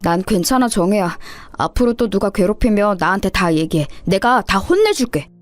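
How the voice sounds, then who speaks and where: A woman's voice: medium pitch, expressive, normal speed, dry recording, soft background music, close to an everyday voice. Woman acting scrappy village schoolboy, fiercely protective, plucky, dry close recording, audio story. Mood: reassuring.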